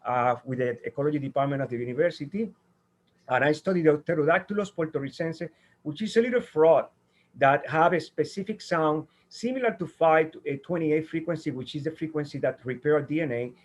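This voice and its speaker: Earnest man, slightly raised pitch. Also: a little fast. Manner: earnest, rambling with many fillers and restarts. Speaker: guest, sound healer and tenor. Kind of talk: interview answers.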